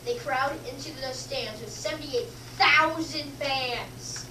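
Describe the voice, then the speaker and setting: Young girl reading play narration, high voice, far from mic, noisy echoey room. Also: slow pace. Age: child or young teenager. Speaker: narrator in a student readers theater. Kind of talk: scripted narration read aloud.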